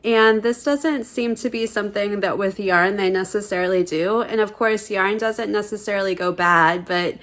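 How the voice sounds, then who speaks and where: A woman's voice: medium pitch, fairly even intonation, moderate pace, few pauses, fairly bright tone. A young woman with a slightly scratchy voice chatting casually while she crochets for her video, rambling with lots of fillers. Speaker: crochet vlogger. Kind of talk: casual chat.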